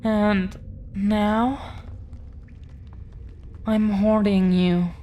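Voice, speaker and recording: A woman plays a dragon-blooded girlfriend in an intimate audio drama, tender and possessive. Her delivery is extremely slow, earnest and hesitant, in a slightly low-key, affectionate manner. Some faint noise sits behind the voice. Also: level tone, warm tone. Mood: protective, adoring. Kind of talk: intimate scripted audio roleplay.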